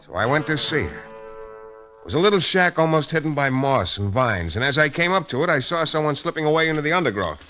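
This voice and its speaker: A man's voice: a little high, big pitch swings, fast, sharp tone. An actor playing a wry, hard-boiled American private detective, narrating his case in a 1940s radio drama style. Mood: tense. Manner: wry, world-weary storytelling. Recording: old radio broadcast with music behind.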